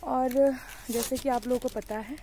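A young woman with a mellow, slightly high voice walks through her vegetable garden and talks to viewers as friends. She chats casually with many fillers and sounds bothered by the heat, with faint noise behind her on a handheld phone recording outdoors. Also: steady tone, unhurried. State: hot and bothered by sun and mosquitoes. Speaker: vlogger. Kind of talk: casual garden tour vlog. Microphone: handheld phone camera.

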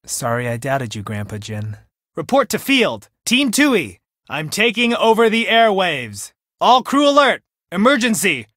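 Animated, emotional young man. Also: high-pitched, unhurried, dry recording, clean studio sound, exaggerated voice. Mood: excited. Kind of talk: video game voice acting.

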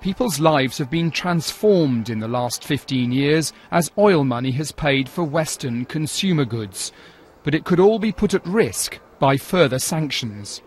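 A man reporting from abroad as a news correspondent, in a measured, formal delivery. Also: fairly high pitch, lively intonation, breathy edge.

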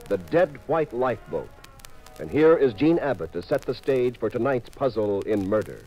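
A man's voice: medium pitch, a little mellow, poor audio. A man announcing a radio mystery in a scripted 1950s style. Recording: old radio recording. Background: music.

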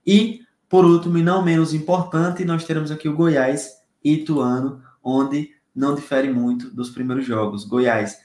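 A young man from Brazil talks through his betting picks in a friendly, upbeat way, speaking directly to viewers. His voice sits at an ordinary middle pitch. The small room around him adds a noticeable echo. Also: darkish tone. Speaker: sports betting tipster. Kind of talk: casual betting-tips video. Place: small home room, indoors. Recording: echoey room sound.

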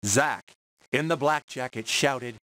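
A man narrating a children's story from a script in a measured read-aloud manner for young listeners. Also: mid-range voice, sharp tone, dry recording.

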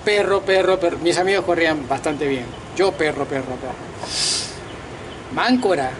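A man in his fifties from Peru, talking straight to the camera outdoors at night in a casual, slangy, animated monologue. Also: fairly high pitch, normal speed, background noise. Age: 50s. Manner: animated, talks to viewers directly with slangy asides. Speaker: vlogger and self-declared political candidate. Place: outdoors at night on a balcony over a lit city.

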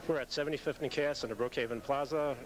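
Quick-talking middle-aged American shop owner. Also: male voice, medium pitch. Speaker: pro shop owner, interview guest. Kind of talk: promotional interview answers. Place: indoor bowling alley. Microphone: interviewer's handheld microphone. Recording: faint background noise on videotape.

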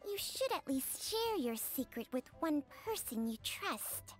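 A woman's voice: high-pitched, big pitch swings, measured pace, soft background music. A woman voicing an ancient, wise female snake spirit who scolds and teases in a dry tone, in a video game. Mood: scolding.

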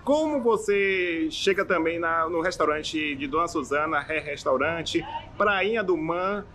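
A man's voice: fairly high pitch, animated, unhurried, pushed and bright, a little hiss or hum. A young man from Salvador with a Bahian accent, chatting casually as he walks viewers around an outdoor neighborhood, pointing things out as he goes. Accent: Bahian Brazilian Portuguese. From Salvador, Bahia, Brazil. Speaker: travel vlogger. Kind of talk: casual walking-tour vlog. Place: outdoors on a seaside hillside street.

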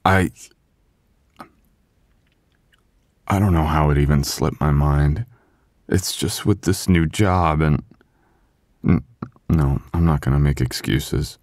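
A man playing a sweet, apologetic, devoted young husband in an intimate boyfriend ASMR roleplay, with a halting, tender, self-deprecating delivery. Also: extremely low pitch, darkish tone, dry recording, clean studio sound. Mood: remorseful.

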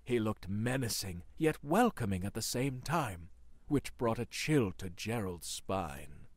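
A man delivers ominous scripted narration for an audio horror play. His voice is husky and moves in lively dramatic swells, recorded dry and close. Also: on the low side, measured pace, no echo.

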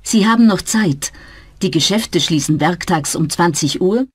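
A woman plays a friendly teacher welcoming her class in a scripted language-course recording. Her intonation rises and falls in a lively way, the tone is slightly breathy, and the sound is dry and close. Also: on the low side, a little slow, dry recording. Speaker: teacher in a dialogue. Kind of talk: slow scripted learner dialogue.

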